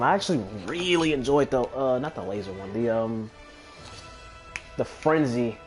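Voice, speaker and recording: A man's voice: a little high, a little mellow. Casual, rambling male gamer over game music. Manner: rambling, casual, swears freely. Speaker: gamer commentating on own play. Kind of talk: casual gameplay commentary. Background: video game music.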